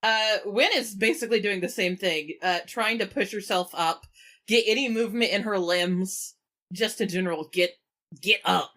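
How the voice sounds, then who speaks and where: A woman's voice: medium pitch, normal speed, a bit bright. A woman chatting casually as a player in a tabletop roleplaying game.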